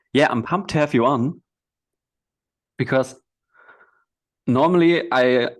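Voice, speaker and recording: A man hosting a podcast, asking his guest questions in a relaxed, casual way with frequent laughs. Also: mid-range voice, slow pace, low-quality recording. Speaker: podcast host. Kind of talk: casual interview chat.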